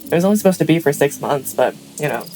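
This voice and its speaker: A gentle, kindly woman of the apocalyptic west, voiced by an actress for an audio play. Her voice is deep for a woman and understated, over faint music and crickets. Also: lively intonation, fast, poor audio. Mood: kind, shy. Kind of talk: audio drama acting.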